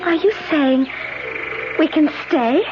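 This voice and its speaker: A hesitant young woman in an old radio play. Her high voice is wistful and halting, as if awed by a dream. The recording is muffled, with music behind her. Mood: anxious, wistful. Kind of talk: 1970s radio drama acting.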